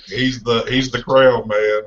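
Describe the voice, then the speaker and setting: A man hosting a casual live show, bantering in a relaxed way with guests and laughing often. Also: medium pitch, unhurried, muffled recording, a little hiss or hum. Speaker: show host.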